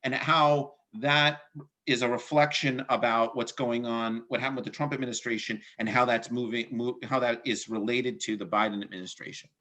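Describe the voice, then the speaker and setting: A man's voice: medium pitch, fairly even intonation, moderate pace, bright, forceful tone. A man hosting a political discussion over a video call, talking casually and often repeating words as he thinks. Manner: conversational, repeats words, laughs easily. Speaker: host.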